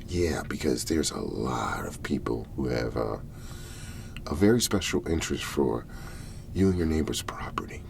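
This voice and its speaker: A man playing a hesitant government agent in an ASMR roleplay, speaking in a soft, gravelly half-whisper with halting, reassuring delivery. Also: extremely low pitch, very animated, a little hiss or hum, exaggerated voice. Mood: hesitant, diplomatic. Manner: halting, deliberate, reassuring, with long pauses. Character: hesitant, placating male government agent negotiating with a farmer.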